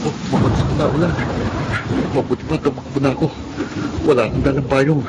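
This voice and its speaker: A man's voice: medium pitch, steady tone, fairly quick, heavy background noise, close to an everyday voice. An actor playing a humble, earnest young Filipino man from a poor family in a radio drama. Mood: flustered, pleading.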